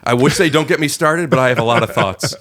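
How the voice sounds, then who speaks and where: This is a man of ordinary pitch hosting a laid-back podcast conversation. He talks in a playful, joking way and slips into mock bits and tangents. His voice sits mid-range, neither high nor low. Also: fast, fairly bright tone. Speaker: podcast host. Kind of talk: casual chat.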